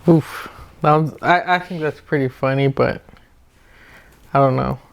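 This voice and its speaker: A man's voice: medium pitch, unhurried, vocal fry, clear, resonant tone. A man hosting a casual advice podcast, talking and debating in an informal, slangy way. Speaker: podcast host.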